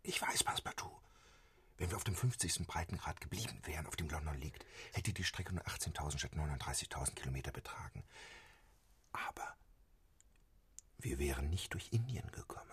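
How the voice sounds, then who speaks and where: An actor playing a composed, exacting English gentleman traveller in a radio play, speaking formally and measuredly. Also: extremely low pitch, expressive, brisk, whispered, full character voice. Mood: hushed.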